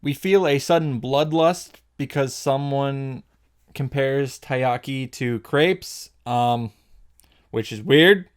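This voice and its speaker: A man's voice: mid-range voice, takes it slowly, clear, resonant tone, no echo, studio quality. A man narrating a comedic scripted recap as a video host, wry, playful and casually irreverent.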